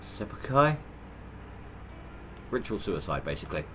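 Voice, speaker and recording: A man's voice: on the low side, big pitch swings, measured pace, bright, forceful tone, a little hiss or hum. A man with a head cold, sounding nasal, giving rambling, stop-start commentary on his gameplay livestream. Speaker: gaming livestreamer.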